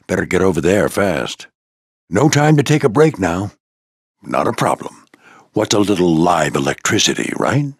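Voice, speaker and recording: An older American man voicing a proud, gruff old military plane who mentors a squadron in a video game, with a gravelly voice and a commanding, folksy manner. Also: low voice, very animated, moderate pace, no room sound, studio quality, heavily acted. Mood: determined. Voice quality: gravelly, husky. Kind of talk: scripted video game character lines.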